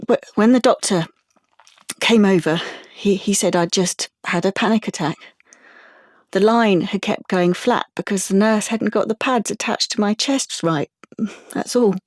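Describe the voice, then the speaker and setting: A young woman played by an actress in an audio drama, anxious and wounded. She confides in a halting, stumbling way, guarded about herself. The sound is close and dry, with no room around her. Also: mid-range voice, no echo, natural voice. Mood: shaken, recounting. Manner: halting, confiding, with stammered restarts. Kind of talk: audio drama monologue and dialogue.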